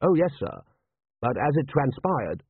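A man's voice: mid-range voice, very animated, a little slow, slightly rough, no room sound, voice pushed up, full character voice. A man voicing a formal, unflappable butler turned soldier, deferential and precise in an audiobook. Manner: polite, precise, very proper servant's diction. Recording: thin, band-limited, phone-like sound.

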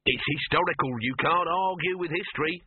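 Man playing a smug, husky movie mogul. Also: medium pitch, animated, normal speed, no room sound. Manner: smug, unflappable, brash. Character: smug, calm, scheming male movie mogul. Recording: narrow phone-like radio sound.

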